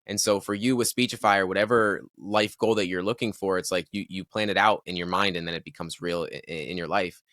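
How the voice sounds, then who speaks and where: A male podcast host with a very low voice that still carries a crisp, forceful edge. He muses out loud, stopping and restarting as he works through ideas, in a dry, close sound. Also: steady tone, no room sound. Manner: reflective, halting with restarts. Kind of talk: casual podcast conversation.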